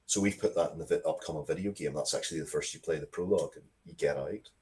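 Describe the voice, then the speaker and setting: A man with a low voice talks as an interview guest. He tells stories with emphasis and rambles a little, often breaking off and starting a sentence again. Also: fast talker, a little mellow. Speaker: guest, writer of Dracula media. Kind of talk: animated interview talk, storytelling.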